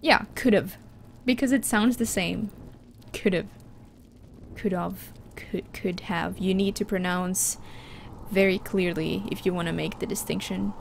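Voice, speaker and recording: A woman's voice: mid-range voice, slow, vocal fry, a little hiss or hum. A woman from Finland, a native Finland Swedish speaker, chatting casually on a livestream and answering her chat, with frequent restarts. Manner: chatty, restarts often, reads chat aloud. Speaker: streamer.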